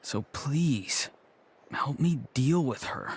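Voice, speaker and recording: An actor playing an earnest young grandson in a video game, wistful and solemnly overdramatic. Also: male voice, medium pitch, expressive, takes it slowly, breathy edge, full character voice. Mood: gloomy. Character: earnest, melodramatic young grandson who loves vegetables. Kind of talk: video game cutscene acting.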